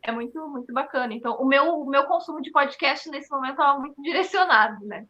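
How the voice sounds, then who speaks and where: A woman's voice: high-pitched, fast talker, sharp tone, muffled recording. A woman chatting casually on a podcast. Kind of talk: casual chat.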